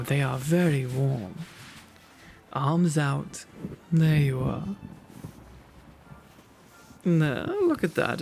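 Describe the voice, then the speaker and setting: A man's voice: a little high, creaky, darkish tone, a little hiss or hum. A man playing a kind, genteel vampire host in an ASMR roleplay, with doting, courteous, old-fashioned phrasing.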